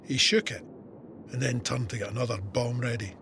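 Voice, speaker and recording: An actor with a Scottish accent playing a soldier who narrates his war memoir in a measured, vivid, plain-spoken way. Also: medium pitch, steady tone, noisy background, close to an everyday voice. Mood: warm, reflective. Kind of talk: scripted first-person war memoir narration.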